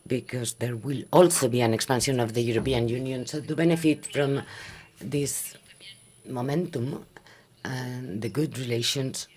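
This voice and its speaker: Halting man chairing a meeting. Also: mid-range voice, darkish tone. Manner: measured, halting with frequent fillers. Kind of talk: formal meeting address. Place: conference hall.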